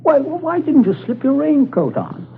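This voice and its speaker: An actor playing a fussy, genteel householder who chatters and stammers nervously, in 1940s radio acting style. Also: male voice, extremely high pitch, wildly swooping pitch, normal speed, gravelly, exaggerated voice. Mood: genial. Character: genteel English householder, fussy and falsely cheerful. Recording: tinny old radio broadcast, faint hiss.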